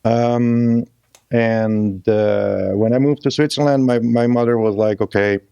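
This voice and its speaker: A man in his thirties from Croatia, a guest musician chatting casually in a halting, loose way with restarts. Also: medium pitch, steady tone, vocal fry, dark tone, clean tone, dry recording, muffled recording. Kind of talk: casual interview chat.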